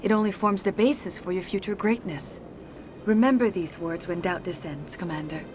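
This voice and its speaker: A woman's voice: fairly low pitch, background noise, lightly acted. Woman as a serene, formal alien seer. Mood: solemn. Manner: formal, measured, poetic. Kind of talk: video game cutscene acting. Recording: music behind.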